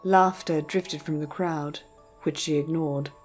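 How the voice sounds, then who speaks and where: A woman's voice: low-pitched, steady tone, slow, a little mellow. A woman reading scripted storybook narration as the narrator. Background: music.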